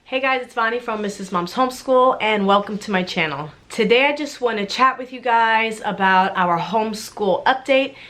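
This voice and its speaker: A woman's voice: on the high side, normal speed, hardly any pauses, fairly bright tone, faint music behind. A woman in her thirties, a homeschooling mom vlogger, chatting casually to viewers with a congested, stuffy voice from a cold. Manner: chatty, friendly, talks straight to viewers. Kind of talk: casual chat to camera.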